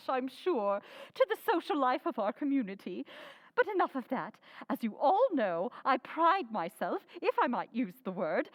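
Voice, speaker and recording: A woman's voice: high voice, no echo. A woman playing a pompous, effusive middle-aged society hostess making speeches in an old-time radio drama. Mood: gracious, self-satisfied.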